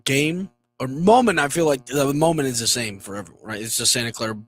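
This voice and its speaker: A young man talking sports on a radio show, his voice hoarse from shouting, joking in loose banter. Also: medium pitch, fairly quick, soft background music. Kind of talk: casual sports chat. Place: radio studio. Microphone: studio mic.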